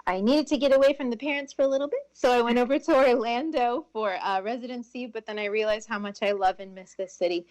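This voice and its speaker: A woman's voice: on the high side, fairly even intonation, fast talker, hardly any pauses, darkish tone, low-quality recording. A woman with an American accent answering interview questions conversationally as a guest physician. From Tampa, United States.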